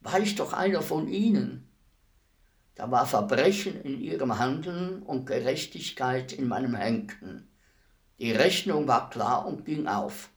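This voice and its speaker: An actor playing a world-weary, philosophical executioner in a radio play, speaking in a deliberate, brooding, respectful way. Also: male voice, medium pitch, lively intonation. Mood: proud, nostalgic. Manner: deliberate, brooding, formally addressing a lady. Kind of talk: radio play acting, slow reflective monologue.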